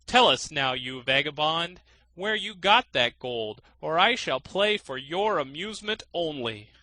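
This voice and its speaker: A man acts out a merry, cheeky storybook countryman. His voice is a little raised and very bright, with confident, declarative delivery. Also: fairly high pitch, expressive, unhurried, no room sound, low-quality recording. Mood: commanding. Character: bold, merry countryman fiddler, cheeky and assured.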